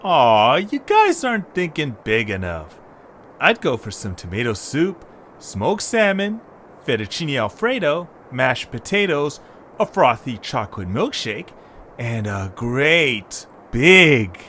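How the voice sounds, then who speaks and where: A man voicing a small, cautious, food-loving male creature, earnest and expressive in an amateur audio drama. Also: medium pitch, takes it slowly. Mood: longing, hungry. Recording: background noise, ambience.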